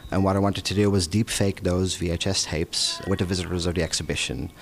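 A man's voice: low voice, fairly even intonation, brisk. A man in his thirties or forties, an artist, answering interview questions in a reflective, rambling way with many fillers. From Irish and Dutch, based in Amsterdam. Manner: reflective, rambling, with "uh" and "you know".

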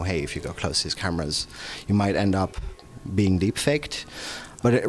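A man in his thirties or forties, an artist, answering interview questions in a reflective, rambling way with many fillers. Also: sits low. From Irish and Dutch, based in Amsterdam. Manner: reflective, rambling, with "uh" and "you know".

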